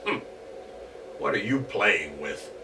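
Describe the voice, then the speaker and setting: A man voicing a sly, red-faced horned male goblin in a lower, gruff voice. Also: very animated, slow, recorded from a distance, background noise. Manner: coaxing, sly questions.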